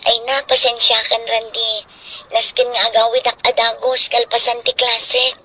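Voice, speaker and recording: A woman playing a gentle, obedient young student daughter in an Ilocano radio drama, speaking meekly and politely. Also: a little high, level tone, measured pace. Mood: hesitant. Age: young adult.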